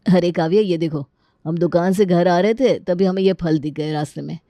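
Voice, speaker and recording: A woman puts on a cheerful young family man for a storybook drama. He is eager and chatty, with a mellow, lowered voice in a dry recording. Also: moderate pace, no room sound, close to an everyday voice.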